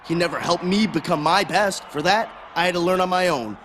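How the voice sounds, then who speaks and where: A man's voice: high-pitched, fairly quick, fairly bright tone, slight background noise. A man playing a cocky, resentful wrestler in a video game, giving a smug, dismissive scripted interview. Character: cocky, resentful wrestler, scornful former student.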